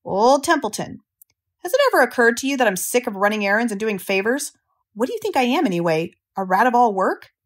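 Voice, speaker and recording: A woman's voice: fairly high pitch, big pitch swings, fairly quick. A woman voicing a grumpy male barn rat from a storybook, sarcastic, mocking and self-pitying. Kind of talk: storybook character reading.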